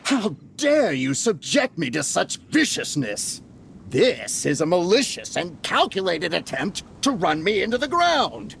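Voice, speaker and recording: A man playing a pompous, indignant merchant gentleman in a video game, gravelly, blustering and theatrical. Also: high voice, huge pitch swings, a little slow, a little hiss or hum, exaggerated voice. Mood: outraged. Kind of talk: English video game voice acting.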